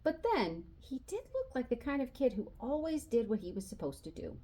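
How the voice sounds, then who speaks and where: A woman reading a children's novel aloud as an expressive storyteller, narrating in the voice of a boy and acting out the dialogue. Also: high voice, moderate pace. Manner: animated, acts out dialogue.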